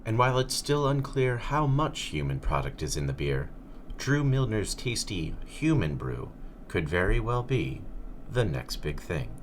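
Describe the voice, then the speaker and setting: An actor playing an earnest public radio producer delivering scripted narration. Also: male voice, fairly low pitch, big pitch swings, a little slow, a little hiss or hum.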